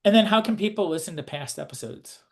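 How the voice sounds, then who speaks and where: A man with a fairly high voice hosts a casual interview. His delivery is hesitant and halting, with informal, loosely built sentences. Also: expressive. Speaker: interviewer, host.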